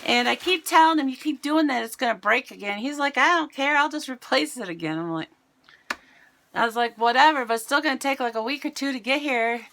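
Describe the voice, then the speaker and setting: A middle-aged American woman with a raspy voice, chatting casually to camera in a home vlog. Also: sits high, brisk, fairly bright tone. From United States. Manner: chatty, rambling, self-mocking. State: raspy voice today. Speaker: vlogger. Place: home, indoors.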